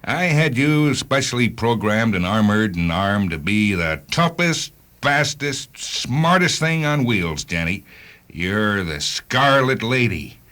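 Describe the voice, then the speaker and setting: A man acting a driven, vengeful hunter in a radio drama, with an intense, emphatic and sometimes halting delivery. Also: on the low side, sing-song, a bit bright, full character voice. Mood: fierce, obsessed. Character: driven, vengeful male hunter of rogue cars.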